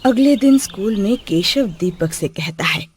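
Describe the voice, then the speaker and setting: A woman's voice: mid-range voice, animated, unhurried, slight background noise. A woman narrating a scripted children's story.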